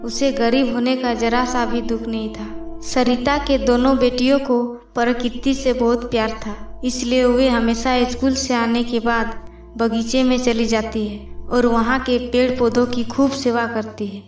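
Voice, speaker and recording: A woman's voice: a little high, little pitch movement, unhurried. A woman reading scripted narration for a moral fairy tale cartoon. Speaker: storyteller. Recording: roomy, distant voice over music.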